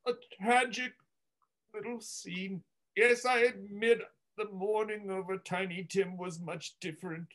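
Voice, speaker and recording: Man acting a grumbling old miser, high sharp voice, slow indignant delivery, sardonic stage drama. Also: steady tone. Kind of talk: theatrical in-character retelling.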